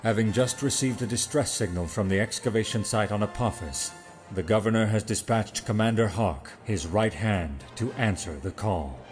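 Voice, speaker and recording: A man narrating a script dramatically, in a solemn, measured manner. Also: on the low side. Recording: music behind the narration.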